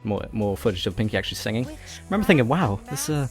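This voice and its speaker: A man chatting casually as a guest co-commentator on an animated series. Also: medium pitch, rapid-fire, faint music behind. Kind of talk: casual commentary track chat.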